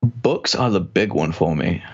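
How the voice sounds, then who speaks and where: A man's voice: deep voice, lively intonation, unhurried, darkish tone, slightly rough, muffled recording, soft background music. A man with a transatlantic accent and hard R's, chatting casually as a guest about copywriting and voice work. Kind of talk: casual podcast chat.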